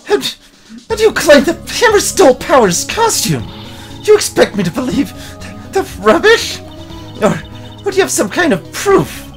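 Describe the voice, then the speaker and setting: Guy doing a cranky old lady guard from a video game, high voice, swoops all over, scolding and sputtering. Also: huge pitch swings, normal speed, soft background music, exaggerated voice. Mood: outraged. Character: cranky, indignant old woman security guard. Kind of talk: dramatic video game dub-along.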